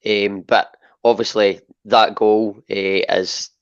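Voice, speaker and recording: A hoarse Scottish man co-hosting a podcast, relaxed and talking with lots of fillers. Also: lowish voice, slow, no echo, muffled recording. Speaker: podcast co-host. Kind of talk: casual podcast chat.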